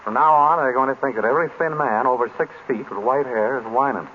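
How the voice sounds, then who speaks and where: An actor playing a wry, unflappable private detective in an old radio drama, with casual, clipped banter. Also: male voice, medium pitch, fast talker, lightly acted. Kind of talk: 1930s-40s radio drama acting. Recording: muffled old radio recording, faint noise.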